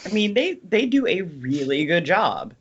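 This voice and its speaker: A female co-host makes her case in a relaxed group discussion. She is blunt and informal, leaning on words for emphasis. Her audio is slightly dull and lo-fi. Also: on the low side, measured pace, husky. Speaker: podcast co-host. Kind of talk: casual panel discussion.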